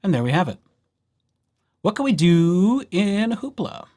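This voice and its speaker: Playful man with lively, swooping intonation. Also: high voice, a little slow. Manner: playful, wry, chatty asides. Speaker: librarian presenting a tutorial series. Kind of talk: casual screen-share software walkthrough.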